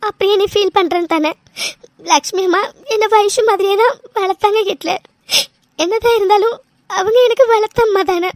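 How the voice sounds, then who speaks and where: A woman playing a very high-voiced tearful young wife. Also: no room sound, studio quality. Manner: hesitant, stammering, choked with tears. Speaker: voice actor. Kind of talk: audio drama acting.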